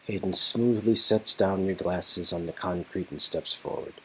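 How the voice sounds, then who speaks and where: A man's voice: low-pitched, moderate pace, fairly bright tone, slight background noise, low-quality recording. A man narrating a romantic game story in scripted second-person prose.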